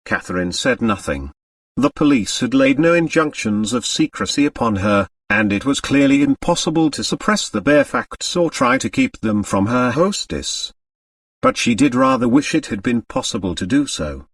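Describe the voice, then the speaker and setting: A man's voice: medium pitch, wildly swooping pitch, moderate pace, creaky, no room sound, recorded from a distance. A man reading scripted literary narration as an audiobook narrator.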